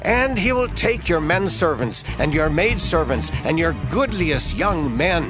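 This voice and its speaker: Grave old prophet, swooping delivery over music. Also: male voice, fairly high pitch, very animated, measured pace. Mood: grave warning. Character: aged male prophet giving a grave warning. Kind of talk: dramatized scripture reading. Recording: music behind the voice.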